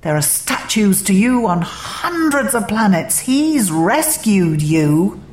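A woman narrator voicing an eccentric, breezy male alien adventurer in a story, brisk, playful and given to lecturing. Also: medium pitch, very animated, reverberant, pitched above the performer's own voice. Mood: earnest, proud.

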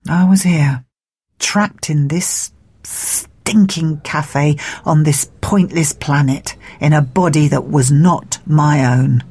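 A woman's voice: low voice, slow, darkish tone, slightly rough, exaggerated voice. A woman narrating a story as a bitter, self-pitying male alien tyrant trapped in a human body, melodramatic and brooding. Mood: anguished, bitter. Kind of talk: first-person dramatic story narration.

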